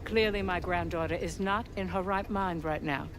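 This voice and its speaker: A woman's voice: on the low side, moderate pace, close to an everyday voice. A woman playing a stern, composed grandmother in a video game, genteel, commanding and coolly condescending. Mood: composed, patronizing. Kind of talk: video game cutscene acting. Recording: loud noise behind the voice.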